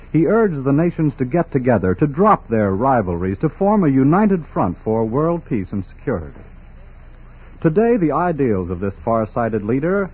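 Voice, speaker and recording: A man announcing a scripted 1940s radio sponsor message in a formal, emphatic, oratorical manner, with a husky voice. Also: mid-range voice, a little mellow. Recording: muffled 1940s radio recording.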